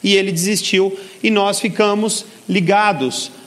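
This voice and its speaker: A middle-aged Brazilian man speaking at a business seminar in a large hall, energetic and persuasive, talking straight to the audience. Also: sits high, unhurried. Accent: Brazilian Portuguese. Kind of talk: motivational seminar talk.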